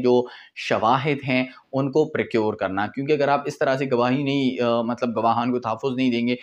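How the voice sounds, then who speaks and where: A man in his twenties with a Pakistani accent, lecturing informally on law and explaining as he goes, mixing in English legal terms. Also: mid-range voice. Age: 20s. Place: small indoor room with whiteboard.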